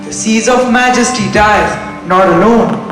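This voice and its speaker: Solemn, flattering courtier played by a man, measured verse, high voice, very echoey stage. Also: over music. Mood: solemn, deferential. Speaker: amateur stage actor. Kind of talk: Shakespearean stage acting.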